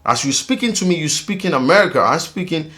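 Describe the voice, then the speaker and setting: A man from Africa hosting his own vlog, talking casually in a rambling, animated way with many fillers. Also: a little high, fast. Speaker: vlogger host.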